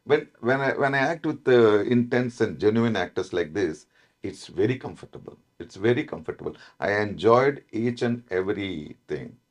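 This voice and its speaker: A man with a South Indian accent, an actor telling stories in a casual interview, laughing often and restarting his sentences. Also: lowish voice. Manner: animated storytelling, laughing often, halting restarts.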